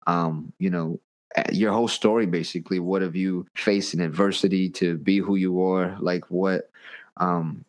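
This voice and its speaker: A man from the United States speaks in a low voice as a podcast host. His interview style is loose and conversational, full of informal hip hop slang. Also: fairly even intonation, moderate pace. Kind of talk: casual interview chat.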